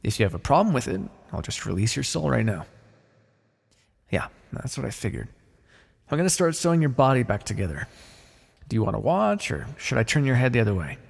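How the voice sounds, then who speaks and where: A man playing a blunt, callous, wryly businesslike necromancer in an ASMR fantasy roleplay, muttering in a deadpan, gravelly voice. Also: medium pitch, fairly quick, pauses often, full character voice. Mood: wry, matter-of-fact. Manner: deadpan, muttering to himself in thought. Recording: long reverberant hall echo.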